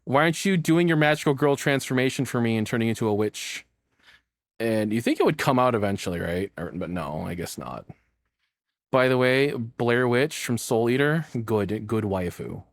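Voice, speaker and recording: A man's voice: medium pitch, lively intonation, normal speed, no echo. A man giving casual, joking reaction commentary, rambling along and swearing freely. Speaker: reactor, streamer.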